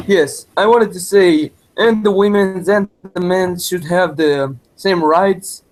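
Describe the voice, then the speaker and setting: A man who is not a native English speaker, making halting, simply phrased remarks in a casual discussion. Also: sits high, low-quality recording. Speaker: discussion participant.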